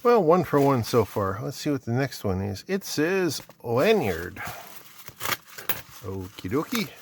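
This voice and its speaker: A man's voice: medium pitch, big pitch swings, measured pace, creaky, background noise. A middle-aged man from Canada casually chatting as he opens and tries out items from his mail, thinking aloud as he goes. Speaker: vlogger opening mail. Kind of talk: casual unboxing chat.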